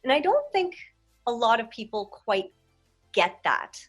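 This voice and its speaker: A woman's voice: fairly high pitch, big pitch swings, measured pace, husky, soft background music. Chatty woman host telling stories. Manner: warm, chatty, storytelling. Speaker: interview host, actor. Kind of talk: casual conversation.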